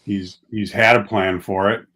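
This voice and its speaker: A man's voice: lowish voice, little pitch movement, unhurried, bright, forceful tone. A man speaking as a guest in a casual theological discussion, making his points in plain, short statements. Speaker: podcast guest.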